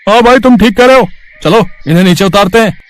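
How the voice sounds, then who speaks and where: A man's voice: high voice, fast, no echo, voice pushed up, lightly acted. A man voicing an eager, cruel henchman of a cattle thief in a story, grumbling as he talks. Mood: agreeing, menacing.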